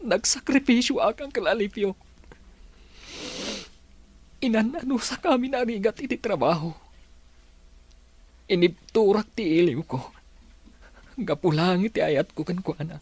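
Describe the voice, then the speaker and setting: A man's voice: high-pitched, a little slow, long pauses, darkish tone, husky, full character voice. An actor with an Ilocano accent playing a heartbroken young man back from working far away, pleading and emotional in a radio drama. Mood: hurt, pleading.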